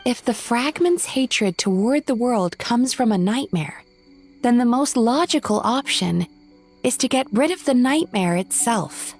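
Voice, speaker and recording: A high-voiced, thoughtful young healer. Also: female voice, expressive, measured pace, darkish tone, faint music behind. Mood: thoughtful, earnest. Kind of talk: video game cutscene acting.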